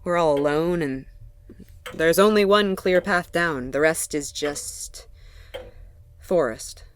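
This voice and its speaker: An actress playing a wry, guarded woman in a naturalistic audio drama, talking haltingly and gently. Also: low voice, a little hiss or hum. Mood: frustrated, wistful. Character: Sophie, warm, wry, guarded woman mechanic.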